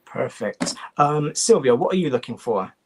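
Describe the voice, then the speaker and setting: A man's voice: mid-range voice, animated, normal speed, slight background noise. A man hosting a panel of language teachers, chatty with stumbling restarts. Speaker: host. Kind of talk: panel discussion.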